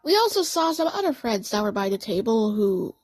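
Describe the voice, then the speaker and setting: A woman narrating a first-person story in a halting way, stumbling and correcting herself as she goes. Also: a little high, very animated, a little mellow, no room sound. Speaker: narrator of a fan audio story.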